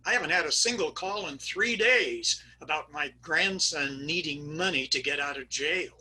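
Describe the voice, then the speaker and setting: A man's voice: on the high side, moderate pace, bright, forceful tone, husky. An older man giving a performed reading of jokes and stories on a video call. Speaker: readers theater performer.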